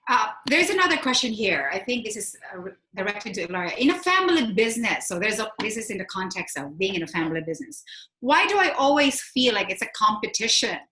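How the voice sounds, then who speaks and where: A woman moderating a panel, briskly reading out audience questions and passing them on. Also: medium pitch, sing-song, pushed and bright, reverberant, poor audio.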